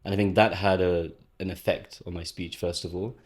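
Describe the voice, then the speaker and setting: Young man, low voice, quick, reflective guest talk with many self-corrections. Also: level tone. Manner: fast, thoughtful, self-correcting. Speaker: podcast guest. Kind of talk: casual conversation.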